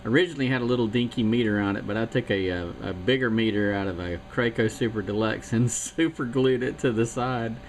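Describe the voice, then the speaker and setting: A man's voice: lowish voice, a little fast, hardly any pauses. A man from South Carolina checking in on a CB radio net, rambling in a casual, chatty way and laughing at himself. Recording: noisy CB radio.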